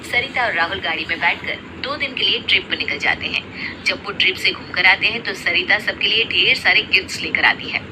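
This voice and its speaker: A woman's voice: medium pitch, brisk. Woman narrating a story, noisy track.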